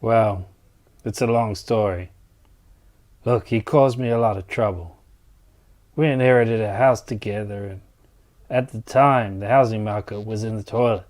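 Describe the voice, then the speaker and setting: A man's voice: on the low side, a little slow, pauses often, darkish tone, close to an everyday voice. A man playing a blunt, resentful, set-in-his-ways engineer in an exasperated dramatized dialogue. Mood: bitter, exasperated.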